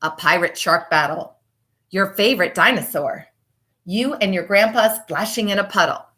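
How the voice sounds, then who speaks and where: A woman teacher reading a children's picture book aloud and chatting to pupils, with expressive, emphatic delivery and deliberate pauses. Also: medium pitch.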